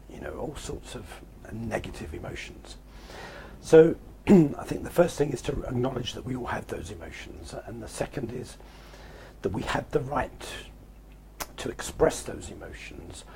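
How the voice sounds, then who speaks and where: A hoarse middle-aged man with a croaky voice that breaks into whisper, talking informally and reflectively to camera in a halting way. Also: fairly low pitch, background noise. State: hoarse, voice nearly gone. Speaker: host sharing personal reflections.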